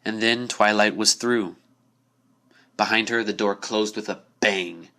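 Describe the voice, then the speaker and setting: A man's voice: lowish voice, steady tone, measured pace, pushed and bright. A man reading a scripted story aloud as narrator, in a dramatic storytelling style.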